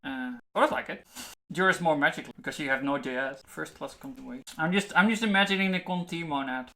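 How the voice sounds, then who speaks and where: A streamer thinks aloud while coding, with a bright, tense tone. The talk is casual, trailing off into half-finished thoughts aimed at the screen. Faint hiss and keyboard typing sit behind the voice. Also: medium pitch, creaky.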